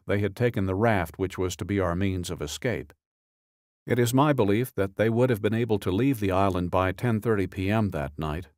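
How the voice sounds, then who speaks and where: A man with a fairly low voice narrates a true-crime audiobook. His delivery is measured and matter-of-fact, each phrase set down deliberately. The sound is dry and close to the microphone. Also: a little mellow, dry recording. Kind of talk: scripted narration, reading a true-crime statement.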